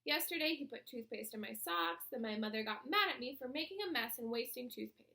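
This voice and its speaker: A woman voicing an excitable, indignant young schoolgirl who exclaims and complains in a children's storybook. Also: high voice, fairly quick, creaky, echoey room, exaggerated voice. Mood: aggrieved. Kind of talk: children's storybook read-aloud.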